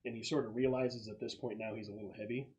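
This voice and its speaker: A man working as game master, improvising narration in a halting, casual and sometimes profane way. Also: mid-range voice, fairly even intonation, muffled recording, background noise.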